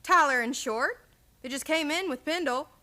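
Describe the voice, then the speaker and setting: A woman playing a warm, spirited frontier woman in a Western radio play, familiar and conversational. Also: high voice, normal speed. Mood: chatty.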